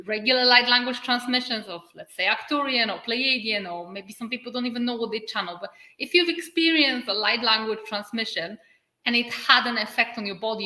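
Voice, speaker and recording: A woman's voice: fairly high pitch, pushed and bright. Earnest Polish-accented woman, echoey room. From Poland. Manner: earnest, stretches key words with dramatic pauses. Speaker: spiritual channel and activator. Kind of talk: livestream talk answering viewer comments.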